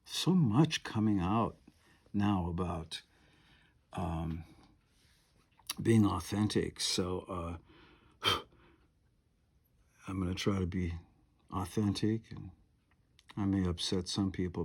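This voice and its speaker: A man's voice: low-pitched, animated, slow, long pauses, darkish tone, slightly rough. A man in his seventies, a piano and harp player, talking at home in a meandering, chatty way with lots of fillers and asides. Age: 70s. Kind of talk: rambling monologue to camera.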